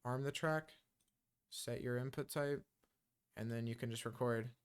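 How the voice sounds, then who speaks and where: A man giving a casual music-software tutorial, talking loosely with many ums and uhs. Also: medium pitch, measured pace, clean tone.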